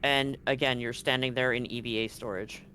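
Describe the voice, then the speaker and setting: A man's voice: medium pitch, fairly even intonation, brisk, sharp tone, slight background noise. A man running a tabletop game, explaining scenes informally and directly to his players. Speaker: game master. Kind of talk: improvised tabletop game narration.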